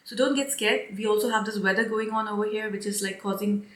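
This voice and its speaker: A young woman chatting casually to her viewers as a perfume reviewer, rambling and laughing often, her voice slightly hoarse with a stuffy nose. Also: mid-range voice, steady tone, a little fast, fairly bright tone. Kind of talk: casual chat to camera. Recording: echoey room.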